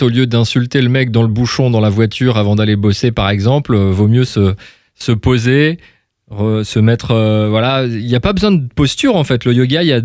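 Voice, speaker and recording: A man's voice: mid-range voice, a little mellow, dry recording, studio quality. A man hosting a casual live radio interview, often restarting and repeating his words as he goes. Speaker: radio host.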